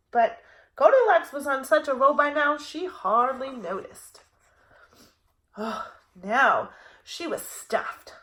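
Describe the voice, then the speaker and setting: A woman reading a picture book aloud to preschoolers as a teacher, with an expressive, playfully wry delivery. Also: sits high. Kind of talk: animated picture-book read-aloud for young children.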